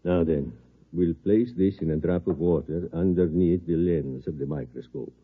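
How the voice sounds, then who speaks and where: 1950s radio drama: visionary male doctor, intense and formal, low husky voice, precise delivery, muffled vintage sound. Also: moderate pace. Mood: earnest, persuasive. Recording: dull lo-fi 1950s radio recording.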